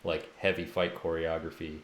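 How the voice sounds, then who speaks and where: A man with a gravelly voice chatting casually and muttering to himself as he works. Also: a bass voice, level tone, moderate pace. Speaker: game developer streaming while he codes. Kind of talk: casual chat, muttering while working. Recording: noisy echoey room with keyboard clatter.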